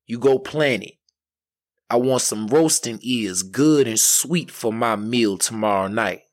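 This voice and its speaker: A man's voice: mid-range voice, a little slow, no room sound, clean studio sound, lightly acted. Man telling a tale as a bossy folktale devil. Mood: demanding, smug. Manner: commanding, folksy. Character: bossy devil, demanding father and taskmaster.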